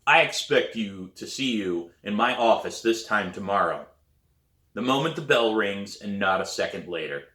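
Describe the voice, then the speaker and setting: An intimidating storybook principal, voiced by a man, stern and clipped in his authority. The pitch is low and the room echoes. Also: expressive, moderate pace, pushed and bright. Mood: stern, formal. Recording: roomy echo.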